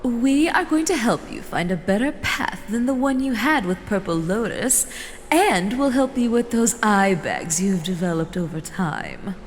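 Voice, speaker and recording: A woman playing a doting, possessive female elder in an audio drama, with a drawn-out, sweetly condescending, theatrical delivery. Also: mid-range voice, animated, natural voice. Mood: sweetly commanding. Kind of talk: scripted fan audio drama acting. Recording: reverberant hall, faint noise.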